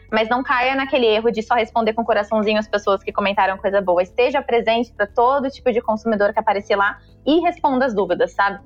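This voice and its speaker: A woman's voice: a little high, dull audio. A woman with a Brazilian accent giving advice in a conversational style.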